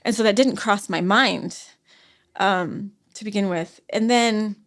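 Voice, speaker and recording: Soft, halting woman with frequent sighs. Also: medium pitch. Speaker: podcast guest. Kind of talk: personal storytelling in conversation.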